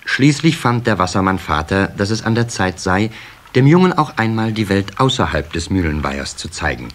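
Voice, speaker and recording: A man narrating a children's radio play in a measured storyteller's delivery. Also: lowish voice, big pitch swings. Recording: slightly hissy old cassette recording.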